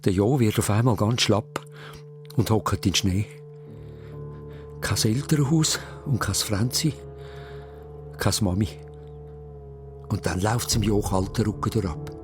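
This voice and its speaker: A man's voice: fairly low pitch, unhurried, long pauses, over music. A man with a Swiss German accent narrating a children's radio play in a dramatic storytelling style.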